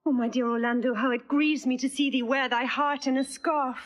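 A woman's voice: high-pitched, steady tone, natural voice. An actress playing a witty, playful young noblewoman in disguise, in classical stage verse. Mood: teasing. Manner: quick, teasing, bold. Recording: dull lo-fi audio.

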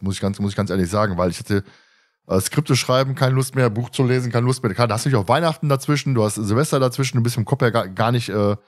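A man's voice: fairly low pitch, a little mellow. A man hosting a podcast in casual, chatty conversation with a teasing manner. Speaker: podcast host.

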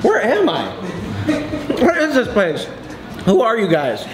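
A man in his forties vlogging in a bright coffee shop, excitable and gushing, laughing often as he tastes dishes. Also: high voice, takes it slowly, crowd noise. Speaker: food vlogger. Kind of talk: casual food review chat. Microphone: phone camera at arm's length.

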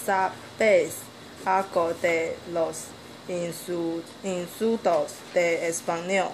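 A woman off camera reading interview questions slowly, with small stumbles. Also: on the low side, slight background noise, poor audio. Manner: slow, flat reading with stumbles.

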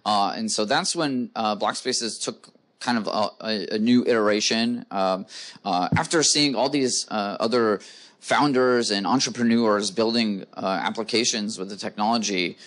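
A man's voice: fairly low pitch, moderate pace, fairly bright tone. A man speaking as a guest in an onstage conference interview, explaining in long runs full of "uh" fillers, into a handheld mic. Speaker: guest, company co-founder. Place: conference stage before a live audience.

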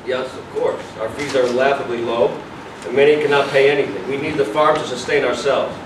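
Male actor as officious institution director, stage drama, defensive, halting, distant mic, faint noise. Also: mid-range voice, steady tone, echoey room, close to an everyday voice. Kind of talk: staged play reading. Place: small indoor venue with audience. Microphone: far camera.

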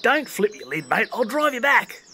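A woman's voice: fairly high pitch, very animated, normal speed, voice pushed up. A woman voicing a cheery young male Australian backpacker in a homemade stop-motion cartoon, slangy and laid-back. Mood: easygoing, matey.

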